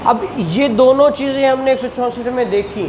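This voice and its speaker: A man's voice: very high voice, normal speed. A man with a Pakistani accent lecturing on law to a class in a didactic way, putting questions to students and repeating key phrases. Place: indoor classroom. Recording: echoey room with clear background noise.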